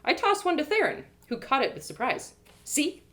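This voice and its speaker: Woman host reading her own fantasy story aloud; bubbly, animated first-person narrator with a bright, bouncy higher voice. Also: brisk. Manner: animated, bubbly, jumping between excited exclamations and hushed asides.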